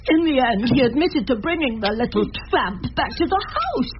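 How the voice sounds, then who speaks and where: Radio drama role: indignant horsey upper-class English wife, high voice with huge swings, muffled noisy broadcast. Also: female voice, big pitch swings, normal speed. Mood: aggrieved. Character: tall horsey wronged wife, overbearing and self-pitying.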